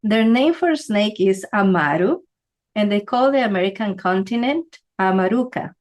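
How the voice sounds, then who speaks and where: A woman's voice: mid-range voice, expressive, moderate pace, a little mellow. Chatty woman teaching with frequent "right?". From Ecuador. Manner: conversational, asks "right?" often. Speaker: feng shui teacher. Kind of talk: explanatory talk to camera.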